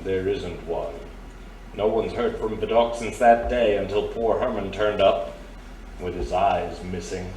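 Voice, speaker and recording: A man's voice: low-pitched, unhurried, a little mellow. A man playing a genteel, avuncular underworld boss in a pulp adventure audio drama. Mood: dry, grave. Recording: roomy, distant mic, faint noise.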